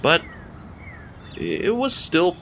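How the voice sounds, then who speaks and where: A man narrating an audio drama in a deliberate, theatrical storytelling style, speaking straight to the listener. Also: high voice, wildly swooping pitch, vocal fry, bright, forceful tone, slight background noise.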